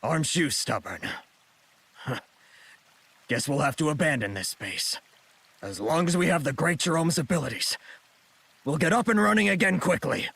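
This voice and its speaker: An actor playing a brazen, scheming gang-leader swindler in a video game, boastful and wheedling in manner. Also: male voice, on the high side, animated, normal speed, vocal fry, pauses often, a bit bright, slightly rough, full character voice. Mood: taunting. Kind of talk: theatrical game cutscene voice acting.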